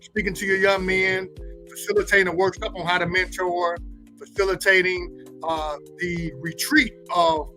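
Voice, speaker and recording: A man's voice: high voice, little pitch movement, normal speed, pushed and bright. A male pastor talking casually about faith in an informal, colloquial, preacherly manner. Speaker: pastor, guest co-host. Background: music.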